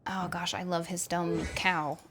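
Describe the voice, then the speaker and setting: A woman streamer giving casual game commentary in a playful, excitable, slangy way. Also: on the low side, unhurried, slight background noise. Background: game music.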